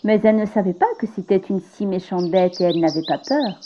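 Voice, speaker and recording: A woman reading a children's fairy tale aloud, gentle and expressive, sometimes dropping to a hush. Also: mid-range voice, fairly quick, highs cut off. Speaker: storyteller.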